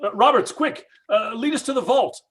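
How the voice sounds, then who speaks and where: A man's voice: very high-pitched, moderate pace, a bit bright, exaggerated voice. An actor playing a desperate, guilt-stricken father in an old-time radio horror play, stammering and agitated. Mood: panicked. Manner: agitated, stammering, breathy exclamations. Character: desperate, guilt-stricken father searching for his abducted daughter.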